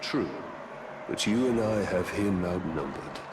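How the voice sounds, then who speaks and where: An actor playing a resolute warrior ranger in a fantasy game, speaking in a stern, hushed way. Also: male voice, unhurried. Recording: very noisy, rumbling background.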